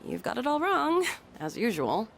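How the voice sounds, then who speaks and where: A woman's voice: fairly high pitch, very animated, moderate pace, gravelly, fairly bright tone, faint music behind, heavily acted. A young woman voicing an easygoing, frank, sporty teenage schoolgirl in a video game, with casual delivery. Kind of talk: video game character dialogue.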